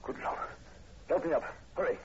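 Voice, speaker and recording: An actor playing a terse, commanding police sergeant in old radio horror, authoritative and no-nonsense. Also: male voice, moderate pace, darkish tone, close to an everyday voice. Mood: urgent. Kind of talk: 1940s radio horror acting. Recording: muffled, faintly hissy old radio recording.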